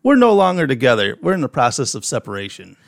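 A man's voice: mid-range voice, wildly swooping pitch, fast, vocal fry, no room sound, clean studio sound. A man with an Upper Midwest American accent, co-hosting a casual podcast, telling stories and reading out listener messages, with some profanity. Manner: storytelling, reads out messages, profane. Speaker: podcast co-host.

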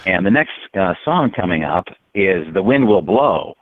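A man chatting casually as a musician guest on a show, rambling with trailing pauses. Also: low-pitched, big pitch swings, phone-quality sound, a little hiss or hum. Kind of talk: casual interview chat.

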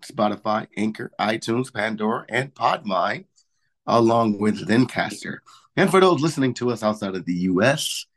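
A man with a hoarse, slightly low voice hosts a relaxed podcast chat. His talk rambles along, full of ums and uhs as he runs through announcements. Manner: rambling, filler-heavy announcements. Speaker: podcast host.

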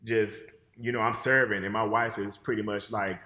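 A man's voice: on the low side, flat delivery, normal speed, bright, forceful tone, reverberant. A man co-hosting a casual chat, telling rambling stories full of swearing. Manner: profane, rambling storytelling.

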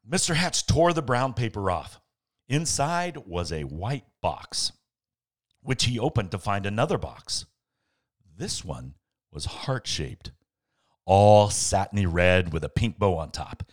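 A man reading a bedtime story aloud as a podcast host, with playful dramatic pauses and casual asides. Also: low-pitched.

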